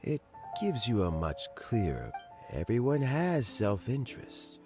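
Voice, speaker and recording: A man playing a shrewd, dignified old city official in a video game, speaking in a measured, formal and deliberate way. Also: medium pitch, big pitch swings, music in the background. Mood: measured, reflective. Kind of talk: scripted video game dialogue.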